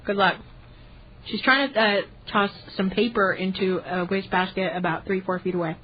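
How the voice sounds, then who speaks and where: A young woman co-hosting a radio show, chatting casually with a soft, light voice and teasing, giggly banter. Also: mid-range voice, steady tone, moderate pace, dull audio, slight background noise. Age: young adult. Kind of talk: casual chat. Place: small radio studio. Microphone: studio mic.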